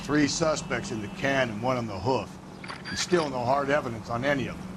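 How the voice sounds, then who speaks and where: A world-weary police detective in a 1940s crime game, voiced by a man. He speaks bluntly and dryly in cop slang at a medium pitch. Vehicle noise is clear behind him. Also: fairly quick, natural voice. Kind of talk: video game cutscene acting.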